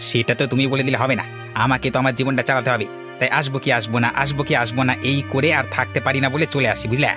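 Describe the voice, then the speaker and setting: Guy doing a young cartoon villager, super fast talker, exasperated and grumbly, music behind him. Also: medium pitch, flat delivery, natural voice. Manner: exasperated, complaining. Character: put-upon young village helper, grumbling yet dutiful. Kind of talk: cartoon voice acting.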